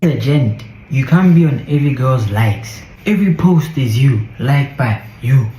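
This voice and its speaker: South African male, mellow tone, emphatic repetitive delivery, roomy echoing clip sound. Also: mid-range voice, animated, moderate pace, slight background noise. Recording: roomy, echoey played-back clip.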